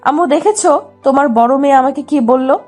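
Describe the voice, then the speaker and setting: A woman performs a stubborn, lovesick young woman with a high voice, pleading in a childish, pouty way. It is an audio love drama, with music running behind her. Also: fairly quick, darkish tone, natural voice. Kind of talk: dramatised love story narration.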